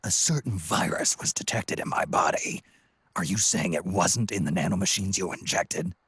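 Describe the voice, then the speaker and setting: Super raspy growly old soldier, low, terse and suspicious, video game. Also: male voice, normal speed, fairly bright tone, dry recording, studio quality, exaggerated voice. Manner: terse, blunt. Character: gruff, weary, suspicious veteran soldier. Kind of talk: video game cutscene voice acting.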